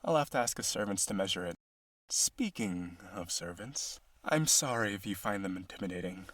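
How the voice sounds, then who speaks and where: A man's voice: deep voice, lively intonation, moderate pace, a bit bright, dry recording. A man playing a lonely, boastful vampire aristocrat in an audio roleplay, genteel, dramatic and insistent in his possessive wooing. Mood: brooding, lonely. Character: lonely, boastful rich vampire brother, possessive yandere suitor.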